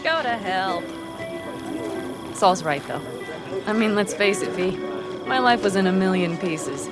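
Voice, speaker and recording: An actress playing a brash, warm, spirited young nomad fighter in a video game. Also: female voice, medium pitch, lively intonation, unhurried, loud music behind the voice, lightly acted. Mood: wry, heartfelt.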